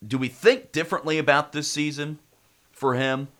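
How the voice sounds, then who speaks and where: Bright-voiced American radio host with a cold. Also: male voice, fairly high pitch, fairly even intonation, moderate pace. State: congested with a head cold. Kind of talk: casual sports talk radio.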